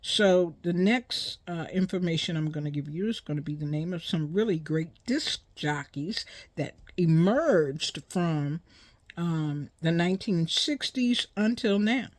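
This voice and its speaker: A woman over sixty hosting an informal educational monologue, speaking in a deliberate, halting way with emphatic pauses. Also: fairly low pitch, lively intonation, no echo. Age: 60s or older. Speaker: host.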